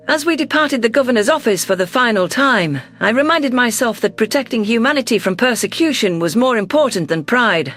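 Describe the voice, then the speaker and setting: A performer voicing an earnest, wary deposed alien governor who narrates in a measured, formal, reflective way. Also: medium pitch, fairly bright tone. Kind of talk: scripted first-person audio story narration.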